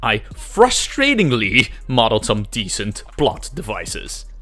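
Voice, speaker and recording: A man's voice: on the low side, huge pitch swings, takes it slowly, fairly bright tone, soft background music. A man narrating a scripted, comedic game development video with self-deprecating, exaggerated emphasis and some swearing. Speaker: host, amateur game developer narrating a devlog.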